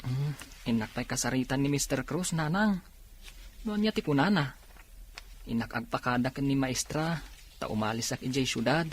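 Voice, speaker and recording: An actor with an Ilocano accent playing a dutiful, patient young eldest son from a poor farm in a radio drama, earnest and respectful. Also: male voice, mid-range voice, expressive, moderate pace, pauses often, slight background noise, close to an everyday voice. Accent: Filipino, Ilocano.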